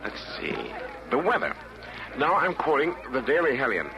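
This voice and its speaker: An actor playing a chatty, wisecracking announcer reporting from hell in a rhymed 1940s radio comedy. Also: male voice, mid-range voice, very animated, normal speed, lightly acted. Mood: chatty, jokey. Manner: chatty, wisecracking, mock-newsreading. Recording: noisy old 1940s radio recording.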